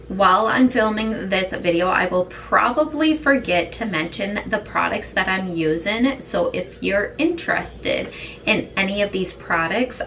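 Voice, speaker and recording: A woman beauty vlogger with a hoarse, raspy voice, chatting in an easygoing, rambling way while doing her makeup at home. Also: mid-range voice, fairly bright tone, distant mic, a little hiss or hum. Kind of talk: chatty get-ready-with-me talk.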